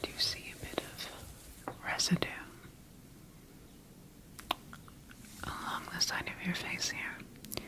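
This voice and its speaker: A woman's voice: close to an everyday voice, rough recording. Whispering female scientist, acted by a woman. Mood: gentle, reassuring. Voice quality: whispered, breathy. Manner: slow, gentle, measured clinical delivery. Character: calm, clinical female scientist examining her engineered creation. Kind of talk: ASMR roleplay.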